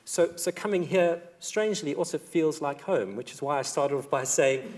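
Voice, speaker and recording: A middle-aged man with a South African accent speaking reflectively and haltingly as a panellist in a live theatre discussion. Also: a little high, a little mellow. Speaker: debate panellist. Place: on stage in a theatre.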